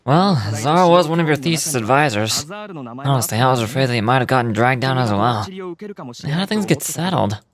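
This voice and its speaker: A man's voice: medium pitch, expressive, normal speed, crowd noise, lightly acted. A man playing a fussy, formal scholar in theatrical video game dialogue. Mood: concerned.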